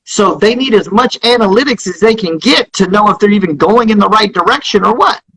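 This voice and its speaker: A man with a high, husky voice gives promotional interview talk as a guest. He speaks emphatically and circles back to repeat his key phrases. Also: a little fast, bright, forceful tone, poor audio. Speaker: interview guest, music business founder.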